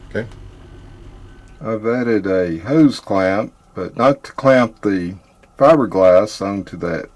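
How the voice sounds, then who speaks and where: A man's voice: deep voice, darkish tone. A man narrating his own boat engine repair in a casual, matter-of-fact way, sometimes muttering to himself. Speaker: boat owner doing repairs.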